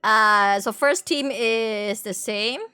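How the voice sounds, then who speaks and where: A woman giving casual live commentary on a video game as a streamer, excitable and giggly, often repeating phrases rapidly. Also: fairly high pitch, clear, resonant tone, no echo.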